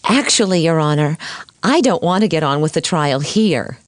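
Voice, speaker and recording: A woman's voice: deep voice, animated, normal speed, no room sound, clean studio sound. A woman voicing a poised, sincere female lawyer in a cartoon courtroom. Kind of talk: animated educational courtroom dialogue.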